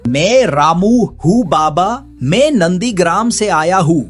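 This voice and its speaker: A poor, pious village man, voiced by an actor, talks slowly with a lively rise and fall. He is humble, earnest and pleading, a cartoon moral-tale hero over soft music. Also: high-pitched, heavily acted.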